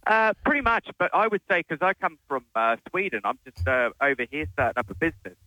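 A man from Australia calling in to a radio show on a headset from a job site, telling his story haltingly with many fillers. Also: a little high, sing-song, no room sound, highs cut off. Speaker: caller, roofer. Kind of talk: casual phone-in chat. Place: work site.

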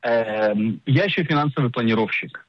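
A middle-aged man explaining things as an expert guest in a radio interview, didactic and emphatic, pausing to search for words. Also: medium pitch, no room sound. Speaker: insurance agent and financial planner, radio guest. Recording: dull lo-fi radio sound.

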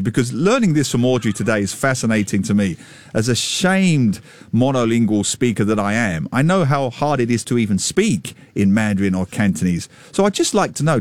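A man hosting a lively radio talk show in the studio, energetic and teasing. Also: mid-range voice, dry recording.

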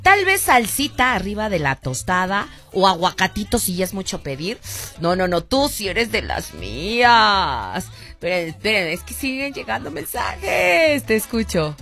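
Mexican female radio host, animated and playful, light music behind. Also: medium pitch, a little slow. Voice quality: playful, laughs often, exclamatory. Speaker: radio host reading listener voice notes. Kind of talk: lively radio call-in chat.

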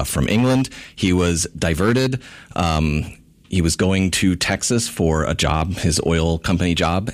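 Deep-voiced man, actor guest. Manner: friendly, laughs often, restarts sentences. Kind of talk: radio interview.

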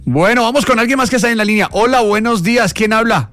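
Guy hosting radio, talks really fast, upbeat, takes callers. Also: high-pitched, fairly bright tone, soft background music. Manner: brisk, upbeat, taking calls. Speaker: host. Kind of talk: radio show chat.

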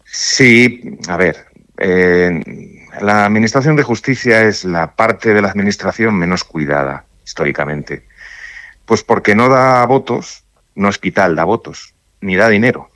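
Deep-voiced man, halting and thoughtful. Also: fairly bright tone, dry recording. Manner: thoughtful, halting, many restarts and fillers. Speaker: judge interviewed on radio. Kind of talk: radio interview answers.

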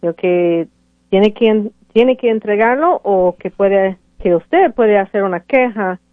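A woman's voice: mid-range voice, measured pace, no echo. Woman, immigration lawyer, hesitant with fillers, phone-like, dry. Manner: hesitant, many fillers and restarts. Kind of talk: radio call-in advice. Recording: tinny phone-like radio audio, dry.

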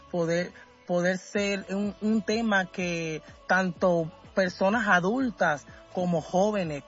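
A man from Venezuela talking casually as a guest in a radio interview, halting and often searching for his words. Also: high voice, fairly bright tone, dull audio. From Caracas, Venezuela. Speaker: musician being interviewed.

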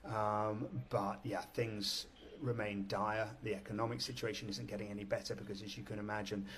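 A male foreign correspondent with a fairly low voice, reporting live down the line from a city. He talks in a measured, thoughtful way and often restarts a phrase before finishing it. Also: little pitch movement, fairly bright tone. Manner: measured, frequent restarts and fillers. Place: on location in a city.

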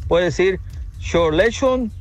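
Man, joking, fairly high voice. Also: expressive, takes it slowly, faint music behind. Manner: joking, explaining.

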